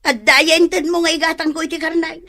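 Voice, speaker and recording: High, bright, nagging Ilocano wife. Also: female voice, level tone, fairly quick, full character voice. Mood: fed-up, berating. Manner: complaining, berating. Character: nagging, fed-up wife demanding money for meat. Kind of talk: Ilocano radio drama acting.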